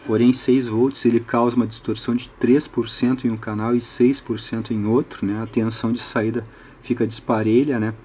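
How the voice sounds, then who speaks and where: A man's voice: mid-range voice, flat delivery, brisk, warm tone, slight background noise. A Brazilian man giving an unscripted technical tutorial, methodically thinking aloud and often adding short tag questions. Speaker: electronics hobbyist presenter.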